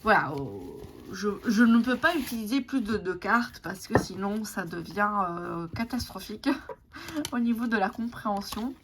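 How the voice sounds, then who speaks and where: A woman whose first language is Italian, casually showing tarot and oracle decks, with many pauses and restarts. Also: medium pitch, animated. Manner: hesitant, many fillers and restarts. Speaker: tarot and oracle deck reviewer. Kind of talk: casual show-and-tell vlog. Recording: clear background noise.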